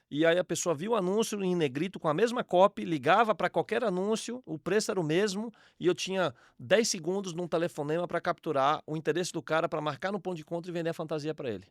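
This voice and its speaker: A man, speaking as a blunt, ironic podcast guest. His voice sits a little high and sounds close and dry on a studio mic. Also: quick pace, dry recording. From Salvador, Bahia, Brazil. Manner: blunt, ironic, storytelling with emphatic slang. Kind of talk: casual podcast interview.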